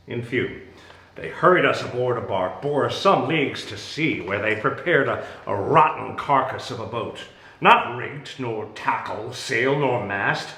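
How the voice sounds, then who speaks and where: Man acting a wronged exiled duke, bitter, commanding, Shakespearean stage delivery, very slow, echoey room. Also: fairly low pitch, sharp tone, full character voice. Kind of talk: Shakespearean dramatic reading.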